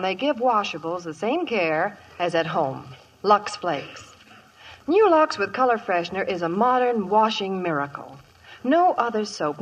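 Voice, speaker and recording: A woman reading a scripted 1950s radio commercial with a husky voice. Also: mid-range voice. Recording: muffled vintage radio recording.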